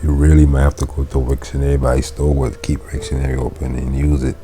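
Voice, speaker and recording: A man's voice: extremely low pitch, flat delivery, warm tone, soft background music. A man reading a Latin textbook aloud, translating line by line and correcting himself as he goes. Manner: slow, stops to correct and gloss words. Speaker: Latin tutor.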